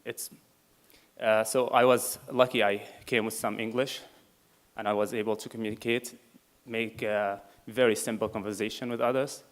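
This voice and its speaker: A man from Syria with a Syrian Arabic accent giving a prepared talk at a meeting, measured and full of pauses. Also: fairly low pitch, flat delivery. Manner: measured, with many filler pauses. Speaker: refugee speaker at a meeting.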